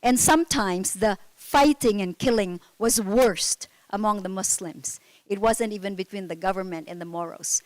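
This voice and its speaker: A woman's voice: mid-range voice, normal speed, hardly any pauses, no echo, low-quality recording. Candid Filipino-born woman on a panel. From Philippines. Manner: earnest storytelling, candid and self-critical. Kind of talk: conference panel talk.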